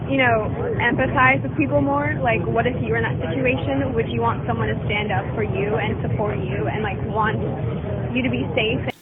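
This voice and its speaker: Woman protester, slightly high voice, earnest appeal; thin sound, crowd around. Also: steady tone, moderate pace, heavy background noise. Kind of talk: street interview. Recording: phone-like sound, busy street crowd.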